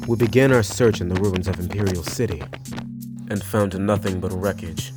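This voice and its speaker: A man's voice: low-pitched, normal speed, natural voice. A man voicing a stern, earnest, principled detective in a comic dub, speaking in a formal, precise and serious way. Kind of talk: comic dub character acting. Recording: music behind the voice.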